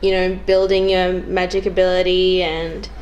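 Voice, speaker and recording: A woman's voice: fairly low pitch, little pitch movement, moderate pace, darkish tone, highs cut off, a little hiss or hum. A young woman chats casually as a podcast guest, thinking aloud in an informal, hesitant way. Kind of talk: casual chat.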